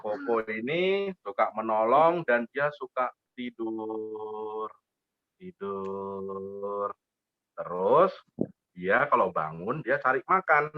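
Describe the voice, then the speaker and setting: Casting: playful male children's storyteller, very animated intonation, unhurried delivery, slightly muffled recording. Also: on the low side, no room sound. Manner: playful, repeats phrases, asks questions.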